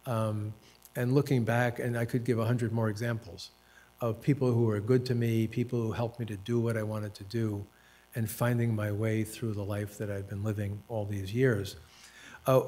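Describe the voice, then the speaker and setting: Older man reflecting on his life, unhurried. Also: fairly low pitch, darkish tone. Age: 70s. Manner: unhurried, self-deprecating, with dry humor; hesitant fillers and restarts. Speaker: author and professor. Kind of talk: reflective personal storytelling at a panel talk.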